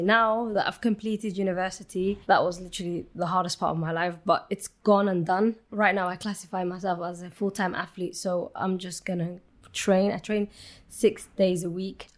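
A young woman from Somalia, a football referee and coach, chatting eagerly in a podcast interview with lots of "like"s and quick restarts. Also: mid-range voice, fairly even intonation. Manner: eager, chatty, rambling with many "like"s. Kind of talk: casual interview chat.

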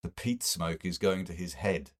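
A man's voice: low voice, moderate pace. A man voicing a sardonic, opinionated literary scholar in an audiobook, with mocking, declamatory delivery. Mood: dry disdain.

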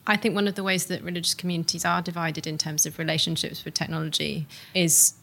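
A woman interviewing in a thoughtful academic style, setting out her questions in a measured, discursive way. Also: lowish voice, little pitch movement, creaky, fairly bright tone.

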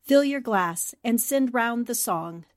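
A woman's voice: on the high side, lively intonation, takes it slowly, no room sound, clean studio sound. A woman speaking for a radio drama cast, reading scripted lines aloud. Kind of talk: scripted holiday greeting and essay reading.

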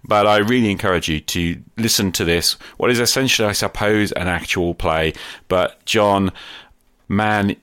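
A man's voice: lowish voice, normal speed, fairly bright tone, clean tone, dry recording, studio quality. A man hosting a podcast, chatting in a casual, off-the-cuff way. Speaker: podcast host and player.

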